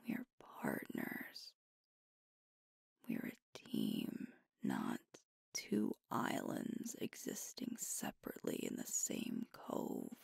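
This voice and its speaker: Breathy, whispering sweet werewolf girlfriend played by a woman. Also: a bass voice, huge pitch swings, darkish tone, no echo, studio quality, full character voice. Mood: worried, earnest. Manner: tender, earnest, soothing, unhurried. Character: sweet, devoted female alpha werewolf girlfriend. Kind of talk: whispered ASMR romantic roleplay. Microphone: close microphone, dry.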